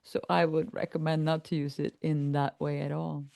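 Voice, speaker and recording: A woman hosting a reflective conversation, explaining ideas calmly and laughing easily. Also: deep voice, moderate pace, creaky, no room sound. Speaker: podcast host and trainer.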